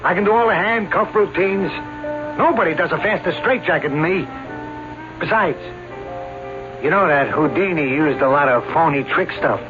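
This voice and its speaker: An actor in a radio drama playing a boastful, stubborn aging escape artist who talks in brash slang. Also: male voice, fairly high pitch, moderate pace, pauses often, close to an everyday voice. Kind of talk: old-time radio drama acting. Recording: dull radio recording, loud music.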